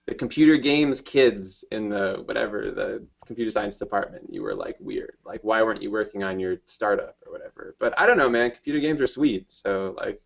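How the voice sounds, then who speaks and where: A man's voice: fairly low pitch, vocal fry. A man from New Jersey, a game developer guest, talks casually in rambling sentences full of fillers. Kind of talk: casual interview chat.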